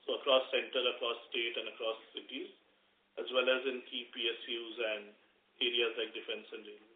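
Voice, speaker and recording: A man talks from an echoey room through a narrow phone line. He sounds like an executive answering analysts, off the cuff, in a medium-pitched voice. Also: level tone, fairly quick. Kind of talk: unscripted earnings call answers.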